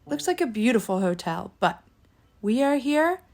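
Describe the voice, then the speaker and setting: A woman with a fairly high voice narrates as a travel show host. Her delivery is scripted but playful, with a touch of theatre in the phrasing. Music plays clearly behind her. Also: very animated, normal speed, darkish tone. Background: background music.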